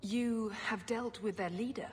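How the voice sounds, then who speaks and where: An actress playing a pious, scheming middle-aged sister in a video game, speaking in measured, formal phrases. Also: female voice, on the high side, a little mellow, close to an everyday voice. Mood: cold, persuasive. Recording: long hall reverb, clear background noise.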